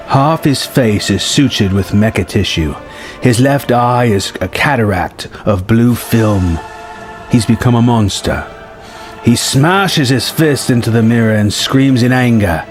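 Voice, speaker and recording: A man narrating a scripted story in a dramatic style. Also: mid-range voice. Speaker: narrator reading a film story treatment.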